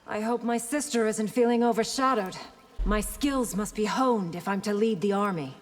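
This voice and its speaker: An actress playing a poised, wary, protective warrior princess who leads an army in a fantasy fighting game, formal and composed. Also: female voice, medium pitch, moderate pace, natural voice. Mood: poised, guarded. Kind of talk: video game fighter intro dialogue. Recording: echoing hall, clear background noise.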